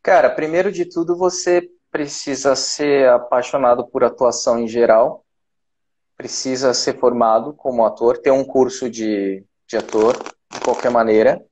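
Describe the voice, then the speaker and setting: A man in his thirties from Brazil, a voice actor guest on a video call, explaining things in a relaxed way with lots of repetition. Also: medium pitch, moderate pace. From Brazil, Campinas. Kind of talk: casual interview chat. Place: small room at home. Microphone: video call with earphone mic.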